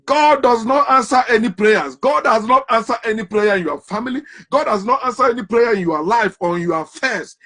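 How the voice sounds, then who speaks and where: A man's voice: extremely high pitch, a little fast, hardly any pauses, sharp tone. A man giving an impassioned, emphatic monologue on religion, hammering home rhetorical questions. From Africa. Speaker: commentator on religion.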